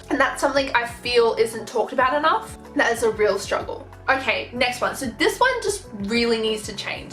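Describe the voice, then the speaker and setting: A woman's voice: a little high, a bit bright, echoey room. A young woman vlogger talking frankly to camera in a chatty, casual way, full of fillers. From Australia.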